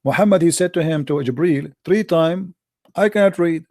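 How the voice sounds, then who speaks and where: A man's voice: a little high, moderate pace, mellow. Insistent man. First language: Arabic. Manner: insistent, lecturing, repeats "my friend". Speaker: debate host. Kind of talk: heated call-in religious debate.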